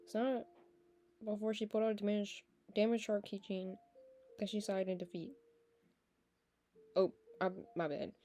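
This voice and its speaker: A woman's voice: mid-range voice, level tone, moderate pace, mellow, faint music behind. A nineteen-year-old woman reading a visual novel aloud, breaking off into chatty, laughing tangents. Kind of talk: game playthrough with casual asides.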